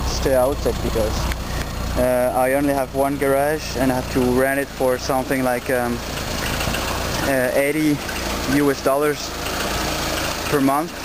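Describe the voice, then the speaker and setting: A man with a French accent, a native French speaker, narrates a casual, unscripted car vlog outdoors in a hesitant, candid way, swearing casually. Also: medium pitch. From Switzerland. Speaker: amateur car enthusiast filming own video. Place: outdoors beside a parked car. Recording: noisy amateur recording with car sounds. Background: loud car engine and ambient noise.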